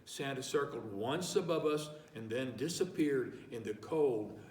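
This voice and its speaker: A man volunteering as a reader, slowly and expressively reading a picture book aloud, clearing his throat between pages. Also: medium pitch, a little mellow, a little hiss or hum. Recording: echoey room, mic far off.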